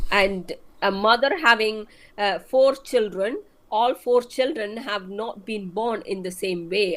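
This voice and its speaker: A woman speaking English as a second language, giving casual advice in an emphatic, explanatory way and repeating her points. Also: mid-range voice. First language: not English.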